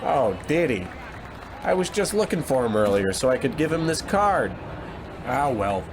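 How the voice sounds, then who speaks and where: A man's voice: mid-range voice, very animated, normal speed, background noise. Plodding baseball coach played by a man. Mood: casually puzzled. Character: slow-witted, unhurried male baseball team coach. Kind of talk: scripted radio comedy acting.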